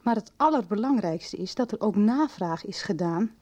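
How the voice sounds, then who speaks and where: An earnest young woman played by an actress speaks confidentially in a radio soap. Her voice is mellow and mid-pitched, with a deliberate, natural delivery. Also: lively intonation, lightly acted. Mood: confiding, earnest.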